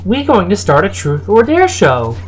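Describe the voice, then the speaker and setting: Woman acting young turtle boy, eager show-host manner, high voice, cartoon storybook character, music in background. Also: huge pitch swings, normal speed. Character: excitable seven-year-old boy Koopa turtle, eager show host.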